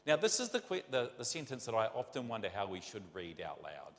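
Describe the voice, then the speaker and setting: A man's voice: on the low side, wildly swooping pitch, fairly quick, a bit bright, echoey room, long reverb tail. A middle-aged man with a New Zealand accent preaching a conversational, rambling sermon full of rhetorical questions. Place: church.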